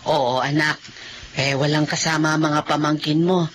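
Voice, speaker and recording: A woman's voice: low voice, steady tone, moderate pace, a bit bright, slight background noise. A woman playing a kindly, devout elderly grandmother in a Filipino radio drama, speaking in a folksy, motherly way. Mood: gentle. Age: older. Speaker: mother of the heroine.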